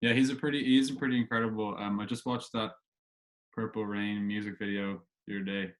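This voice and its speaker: A man's voice: lowish voice, fairly even intonation, quick pace, low-quality recording. A man hosting a casual interview, asking questions hesitantly and rephrasing them as he goes. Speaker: podcast host, interviewer.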